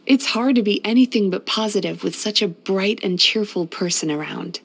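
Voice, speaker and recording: A woman voicing a gentle, wistful, centuries-old yokai healer in a video game, speaking softly in a measured, polite, reflective way. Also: mid-range voice, gravelly. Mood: warm, admiring. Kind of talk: scripted video game character voice-over.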